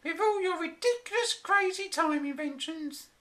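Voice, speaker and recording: Shrill scornful wife, sky-high voice, bright and sharp. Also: female voice, moderate pace. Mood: scolding. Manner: mocking, scornful. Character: shrill scolding wife, mocking and exasperated.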